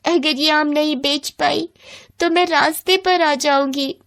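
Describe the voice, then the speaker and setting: Woman voicing a high, plaintive poor young village girl. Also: steady tone, measured pace, fairly bright tone. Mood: pleading. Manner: pleading, plaintive. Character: poor, earnest young mango-grower woman, pleading and distressed. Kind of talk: acted dialogue in a moral bedtime story.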